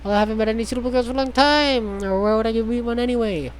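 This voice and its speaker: Streamer reading game text out loud, middling pitch, trips over words, sweary asides, some background noise. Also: fairly even intonation, very fast. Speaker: streamer reading a visual novel aloud. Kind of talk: casual playthrough, reading game text aloud with joking asides.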